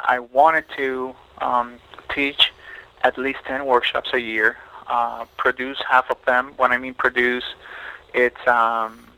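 A middle-aged man from Puerto Rico, a photographer being interviewed, talking thoughtfully and haltingly with many fillers and restarts. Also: medium pitch, pushed and bright, poor audio. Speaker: photographer guest. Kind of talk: podcast interview.

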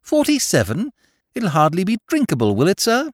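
An audiobook character: a man playing a spirited young English aristocrat with an upper-class accent. The voice rides high and swoops eagerly. Phrases come clipped and exclamatory, with great emphasis, in a close, dry sound. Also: big pitch swings, moderate pace, no room sound, natural voice. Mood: eager, animated. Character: lively, emphatic English gentleman, young lord and connoisseur.

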